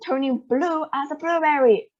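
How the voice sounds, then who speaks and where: Woman putting on a screaming storybook mum, high and frantic, dull recording. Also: normal speed, a little mellow, lightly acted. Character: panicking shrieking mother, middle-aged woman.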